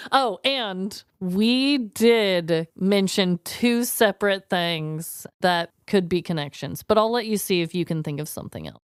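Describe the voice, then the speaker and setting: Smooth-voiced woman, crude and emphatic podcast co-host. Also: medium pitch, a little slow, dry recording, clean studio sound. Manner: crude, animated, emphatic joking. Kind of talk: casual comedic chat.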